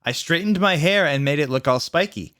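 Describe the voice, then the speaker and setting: Male reader as goth teen vampire witch girl, self-absorbed, emphatic, melodramatic, fan fiction narration, highish pitch. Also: expressive, a little fast, a bit bright. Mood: dramatic, gloomy.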